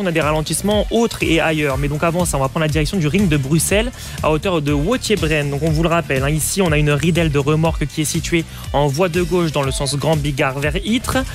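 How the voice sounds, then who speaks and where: A man's voice: on the high side, fast talker, faint music behind. A man giving a live radio traffic bulletin.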